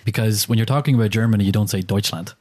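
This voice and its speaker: A man's voice: lowish voice, darkish tone, no echo, clean studio sound. An Irish man in casual chat as a podcast co-host, wry and opinionated. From Ireland. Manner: quick, wry and opinionated, chuckling.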